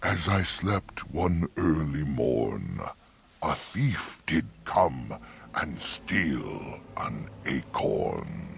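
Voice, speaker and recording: A man voicing an ancient, gentle talking oak tree in a fantasy game, speaking in solemn archaic rhyme. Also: extremely low pitch, big pitch swings, drawn-out words, vocal fry, slight background noise, heavily acted. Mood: solemn, kindly. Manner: solemn archaic rhyming speech. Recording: music behind the voice.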